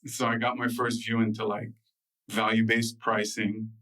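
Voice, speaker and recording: A man with a low, fairly monotone American voice, recalling his youth for an interviewer. He keeps circling back with fillers and restarts. He sounds a bit distant from the microphone. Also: moderate pace. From United States. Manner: loose, filler-heavy, with frequent restarts. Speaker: guest. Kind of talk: relaxed interview storytelling.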